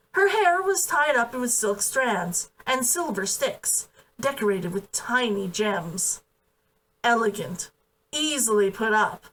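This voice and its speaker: A woman's voice: fairly high pitch, fairly bright tone. A woman reading fiction aloud in a dramatic, emphatic storytelling style. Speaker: author reading own book aloud. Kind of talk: expressive storybook narration. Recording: voice distant from the mic.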